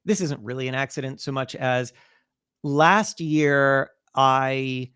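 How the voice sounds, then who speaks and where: A man speaking as an interview guest, telling stories conversationally and acting out bits of dialogue in an animated way. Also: medium pitch, slow, clean tone, dry recording, studio quality.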